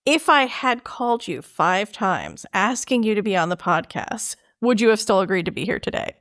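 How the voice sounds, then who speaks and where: A woman's voice: mid-range voice, expressive, fairly bright tone. Enthusiastic woman hosting a podcast chat. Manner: enthusiastic, quick to agree. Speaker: podcast host interviewing a guest.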